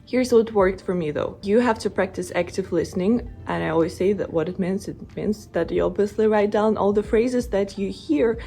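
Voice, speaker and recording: A woman with a Russian accent speaks straight to her viewers in an earnest, encouraging way, giving advice as a vlogger. Her voice sits at an ordinary middle pitch. Soft music plays faintly behind her. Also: moderate pace, vocal fry, hardly any pauses. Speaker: language-learning vlogger. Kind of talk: motivational talk to camera.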